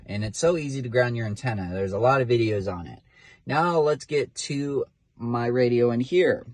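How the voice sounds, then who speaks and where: A man's voice: mid-range voice, expressive, moderate pace, no echo. A man talking casually from inside his car in his first vlog, rambling with lots of fillers and restarts. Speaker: vlogger, CB radio hobbyist.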